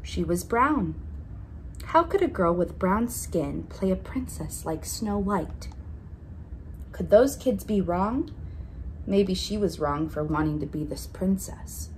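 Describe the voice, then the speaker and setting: Female storyteller, slightly low pitch, expressive children's read-aloud, stretched emphasis, background noise audible. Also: slow, rough recording. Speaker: storyteller reading a picture book aloud.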